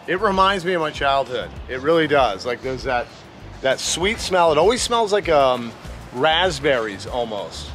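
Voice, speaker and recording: A man's voice: medium pitch, sing-song, measured pace, a bit bright, faint music behind. A man with a Maine accent, hosting a casual vlog outdoors and chatting loosely with many fillers and restarts. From Maine, USA. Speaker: vlog host. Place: outdoors in a town.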